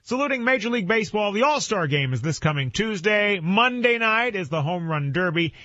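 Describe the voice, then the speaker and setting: A man's voice: sits high, fairly quick, dry recording. An American man hosting an oldies doo-wop radio show with upbeat, punchy announcer patter between songs. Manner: animated, punchy announcer delivery. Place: dry radio studio. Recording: dull, lo-fi AM radio aircheck.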